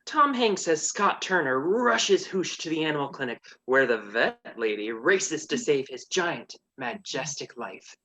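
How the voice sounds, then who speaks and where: A woman's voice: low voice, animated, a little slow, hardly any pauses, fairly bright tone. A woman narrating a comedic radio play in a sassy, theatrical manner.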